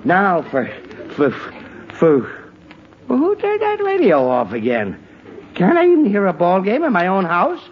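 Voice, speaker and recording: Blustering, exasperated radio-comedy dad. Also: male voice, high voice, huge pitch swings, unhurried, full character voice. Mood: irritated. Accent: American. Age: middle-aged. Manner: blustering, sputtering, repeats himself. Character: exasperated, sarcastic middle-aged father and husband. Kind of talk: 1940s radio comedy acting. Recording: muffled, faintly noisy 1940s radio recording.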